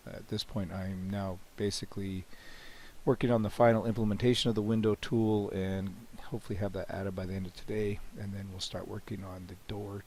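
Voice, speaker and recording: A man with a cold, hoarse and often clearing his throat, casually talking through a software demo with many "you know"s and restarts. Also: on the low side, brisk, slight background noise. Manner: relaxed, rambling, frequent "you know" and restarts. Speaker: software developer demonstrating his plugin. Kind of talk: screen-recorded software walkthrough.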